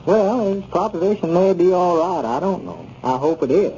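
An actor playing a sensible, cautious small-town friend, giving plain-spoken advice in a 1930s radio comedy. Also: male voice, high-pitched, lively intonation, moderate pace, mellow, husky. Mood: wary. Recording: muffled 1930s radio recording, faint noise.

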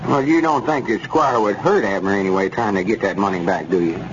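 A man's voice: on the low side, brisk, close to an everyday voice. A man playing a gullible, stubborn country storekeeper in a 1930s radio comedy, with a rural Arkansas accent and an earnest, rustic drawl. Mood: worried. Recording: muffled, noisy 1930s radio recording.

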